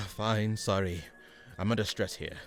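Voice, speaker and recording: A man's voice: fairly low pitch, slow pace, faint music behind. A man playing an exasperated, condescending supervillain mastermind in a comic radio play, pompous in his delivery.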